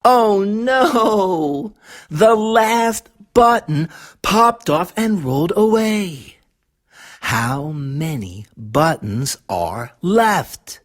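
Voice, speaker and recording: A woman reads a children's storybook aloud in an expressive, deliberate way, putting questions to young listeners. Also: mid-range voice. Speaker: storybook reader. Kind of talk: children's read-aloud narration.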